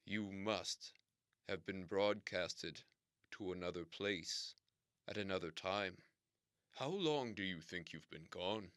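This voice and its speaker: A deep-voiced, pompous radio boss, played by a man, who lays out events slowly and formally. His tone is bright and crisp, recorded close and dry with no room sound around it. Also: dry recording. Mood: awed. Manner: formal, slow, explanatory, theatrical oaths. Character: grandiloquent male radio station boss. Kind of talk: comedic audio drama acting.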